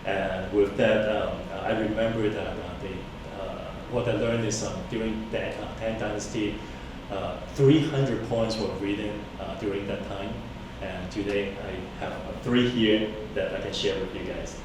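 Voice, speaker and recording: A man from Hong Kong whose first language is Cantonese introduces himself at a reading, speaking politely and haltingly with many fillers. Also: on the low side, fairly even intonation. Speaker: engineer and songwriter reading poems. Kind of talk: event introduction. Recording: distant, echoey, noisy room recording.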